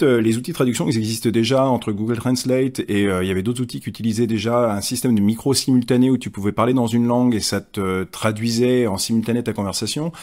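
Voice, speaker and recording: A man in a casual tech chat who speaks very quickly. He strings long questioning sentences together as he thinks aloud. His voice is mid-pitched. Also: a little mellow. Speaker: podcast guest.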